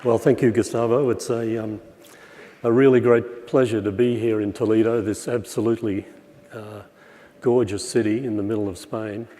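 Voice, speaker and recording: A croaky older man speaks from a podium in a large auditorium, his somewhat low voice trailing long reverb through the hall. He lectures in a relaxed, wry way, padding his sentences with "uh" and "um". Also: normal speed, some chatter behind. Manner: relaxed, wry, uses many fillers. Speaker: plenary speaker. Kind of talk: conference lecture. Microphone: far room pickup, speaker at podium.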